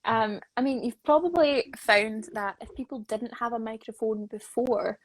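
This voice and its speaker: A woman's voice: fairly high pitch, normal speed, no room sound, low-quality recording. A woman hosting a casual live interview, speaking in a conversational, encouraging way. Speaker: interview host.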